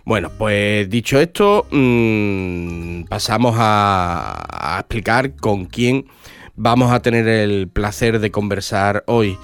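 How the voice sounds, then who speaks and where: Congested radio host with a cold. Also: male voice, lowish voice, sing-song, slow pace, smooth, dry recording. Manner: hesitant, with many fillers and restarts. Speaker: radio book show host. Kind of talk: casual radio interview.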